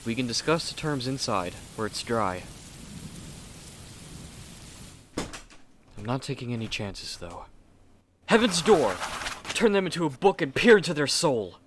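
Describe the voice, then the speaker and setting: A man's voice: medium pitch, sing-song, moderate pace, background noise, lightly acted. A man playing a haughty, impatient, self-important artist in an ASMR roleplay, speaking in a dry, condescending, theatrical way. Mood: intrigued, guarded, then commanding. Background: rain and thunder.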